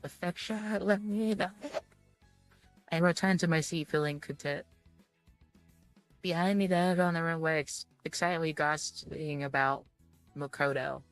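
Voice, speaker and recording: A woman with a fairly low voice talks through a visual novel as she plays it. She reads game text aloud and adds her own comments in a halting, rambling way. Music plays behind her. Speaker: streamer playing a visual novel. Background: background music.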